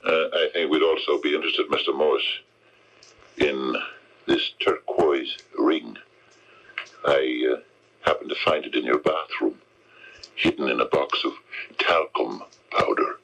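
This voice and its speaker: An actor playing a methodical detective who questions in a measured, hesitant, understated way in a 1970s radio drama. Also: male voice, extremely low pitch, creaky, a bit bright, slightly rough, full character voice. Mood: quietly probing. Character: methodical, quietly probing male detective. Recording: dull 1979 AM radio recording.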